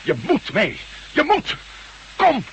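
An actor playing a driven former astronaut turned reporter, pressing hard and insistent in a radio drama. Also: male voice, very high voice, wildly swooping pitch, slow, slight background noise, full character voice, poor audio. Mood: urgent. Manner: urgent, insistent.

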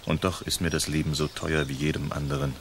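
Very deep, hushed, gallant outlaw. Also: male voice, flat delivery, a little fast, a little hiss or hum. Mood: ardent, seductive. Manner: hushed, seductive, courtly. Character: bold, charming masked outlaw, ardent and gallant suitor. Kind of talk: radio play acting.

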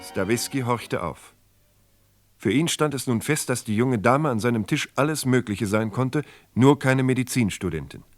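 A man's voice: medium pitch, lively intonation, moderate pace, no room sound, faint music behind. Male crime narrator, dry close sound. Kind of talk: scripted true-crime radio play narration.

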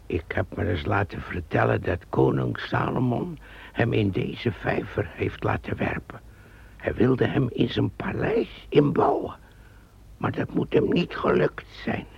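An actor playing a brooding pond keeper who muses and stammers in a radio play. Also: male voice, medium pitch, full character voice. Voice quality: gravelly, raspy. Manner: musing, stammering. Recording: dull lo-fi recording.

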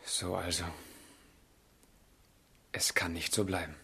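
An actor playing a passionate, despairing young man in a literary radio drama, with a husky voice and theatrical delivery. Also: deep voice, little pitch movement, takes it slowly, heavily acted. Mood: subdued, hurt. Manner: anguished, theatrical, swinging from hushed to impassioned.

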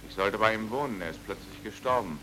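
An actor playing a stubborn, combative pulp novelist in a German radio crime drama, speaking in a terse, dry, direct way. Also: male voice, on the low side, fast talker, background noise, lightly acted. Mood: dry, blunt.

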